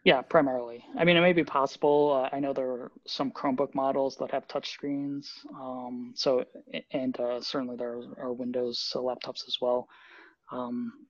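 A man, a software developer, explaining technical updates at a team meeting on a video call, thoughtful and full of fillers. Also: mid-range voice, little pitch movement, moderate pace, dry recording. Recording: dull lo-fi video call audio.

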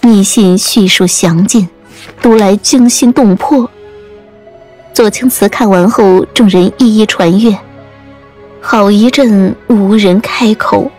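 A woman's voice: medium pitch, takes it slowly, a little mellow. A woman reading scripted audiobook narration as the storyteller. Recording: music under the voice.

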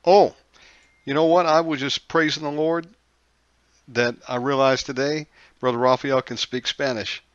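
A man's voice: mid-range voice, steady tone, measured pace, no echo, dull audio. A man hosting a call-in radio show, talking in a friendly, chatty way. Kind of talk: casual call-in chat.

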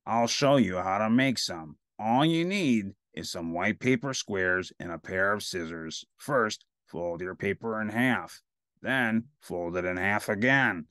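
A performer voicing a gentle, fretful winter lover who explains paper crafts step by step in a children's storybook. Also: medium pitch, expressive, takes it slowly, no room sound, clean studio sound. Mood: mildly fretful, fond.

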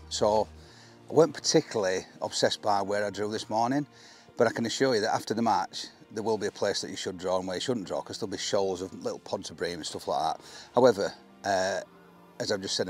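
A man's voice: on the low side, a little fast, faint music behind. A man in his fifties with an English accent, presenting a fishing match to camera outdoors. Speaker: angler and presenter. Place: outdoors on an open reservoir bank.

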